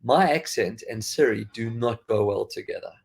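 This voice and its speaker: A man's voice: medium pitch, moderate pace, vocal fry, slightly rough. A man from South Africa with a faded South African accent, hosting a podcast in a chatty, rambling way with frequent restarts. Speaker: podcast host. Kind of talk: casual tech chat.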